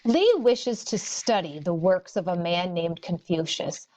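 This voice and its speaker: Slow-paced woman teacher reading aloud. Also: on the low side, a little mellow. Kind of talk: read-aloud of story and textbook.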